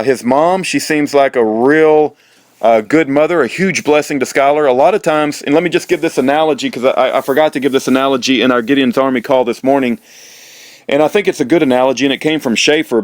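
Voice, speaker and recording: A man's voice: on the high side, no room sound. Rambling American man, close dry sound. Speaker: host, prisoner-support activist. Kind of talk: informal, rambling monologue to viewers.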